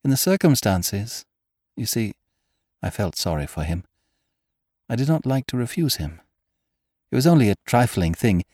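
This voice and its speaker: A man's voice: medium pitch, big pitch swings, moderate pace, pauses often, darkish tone, no echo, clean studio sound, pitched above the performer's own voice. A man reading an audiobook, voicing a troubled young woman who hesitates and grows frightened as she speaks. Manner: hesitant, trembling, rising to alarm. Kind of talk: audiobook character voice.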